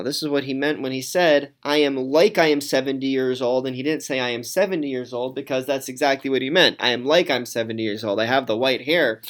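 A man teaching a Talmud class, reading each Aramaic phrase and then translating it, with frequent pauses and ums. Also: medium pitch.

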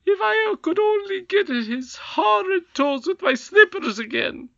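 A man voicing a haughty, scornful goblin queen in a bedtime storybook reading, shrieking in a high drawn-out voice. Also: animated, gravelly, bright, forceful tone, dry recording, dull audio, exaggerated voice.

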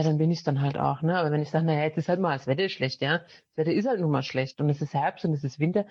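A woman's voice: sits low, dark tone, breathy edge, dry recording. Woman guest telling her story, plain and matter-of-fact, dull lo-fi sound. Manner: plain-spoken and matter-of-fact, with frequent fillers. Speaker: interview guest. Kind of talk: casual conversational storytelling.

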